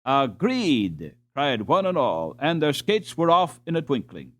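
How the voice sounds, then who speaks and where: A man's voice: a little high, very animated, moderate pace. A man narrating a storybook as a scripted audiobook reading.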